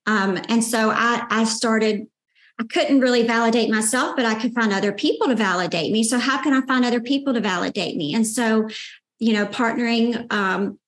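A woman with a Southern accent speaks in a sweet, somewhat high voice. She tells her story candidly and with quiet determination, restarting phrases as she thinks. The recording has a noticeable roomy echo. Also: normal speed. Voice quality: sweet-toned voice. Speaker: guest, founder and CEO. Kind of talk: casual interview chat.

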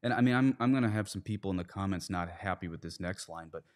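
A man talking through his points in a casual podcast discussion, often halting and correcting himself as he explains. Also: low-pitched. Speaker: priest and podcast guest.

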